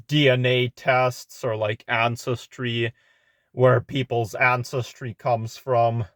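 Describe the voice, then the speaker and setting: Slow, rambling young man. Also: mid-range voice, dry recording, studio quality. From Belarus. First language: Russian. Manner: rambling, many fillers and restarts. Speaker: vlogger. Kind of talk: casual talk to camera. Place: inside a car.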